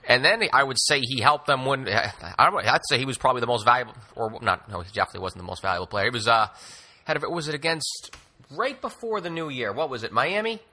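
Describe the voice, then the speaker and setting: A man's voice: mid-range voice, animated, fast, sharp tone. A man hosting a sports podcast, talking basketball conversationally with wordy, wry asides.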